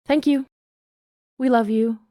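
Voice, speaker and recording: A woman's voice: fairly high pitch, fairly even intonation, slow, dark tone, no room sound, studio quality. A woman hosting a fiction podcast, reading announcements and scripted story narration.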